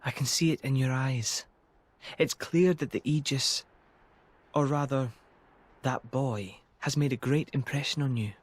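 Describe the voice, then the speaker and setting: A man playing a gracious young emperor in a video game, speaking in a formal, measured and thoughtful way. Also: mid-range voice, lightly acted. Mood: reflective. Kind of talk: dramatic video game voice acting. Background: music.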